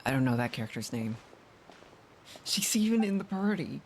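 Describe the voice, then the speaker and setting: A streamer giving casual gameplay commentary in halting, puzzled asides as they react to dialogue. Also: medium pitch, big pitch swings, a little hiss or hum. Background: faint game music.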